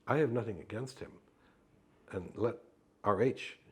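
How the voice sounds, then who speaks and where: Low husky man telling a story. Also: unhurried. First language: Yiddish. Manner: animated, acts out quoted dialogue. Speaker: actor interviewee. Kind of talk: anecdotal storytelling in an oral history interview.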